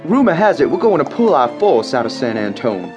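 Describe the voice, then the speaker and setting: An actor playing an earnest, respectful soldier with firm opinions in a radio drama. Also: male voice, mid-range voice, very animated, a little fast, music in the background, close to an everyday voice. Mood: earnest, concerned. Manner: respectful, eager.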